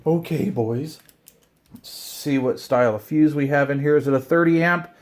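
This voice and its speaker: A middle-aged or older man chatting casually to viewers while unboxing, excited and rambling, often talking to himself. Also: fairly high pitch, moderate pace. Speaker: hobbyist video host. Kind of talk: casual unboxing chat to camera.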